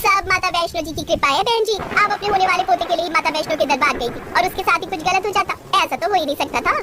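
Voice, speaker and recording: Woman playing a high, motherly helpful neighbour. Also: fast, heavy background noise. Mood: kindly. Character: helpful women passengers and mothers, kindly, reassuring.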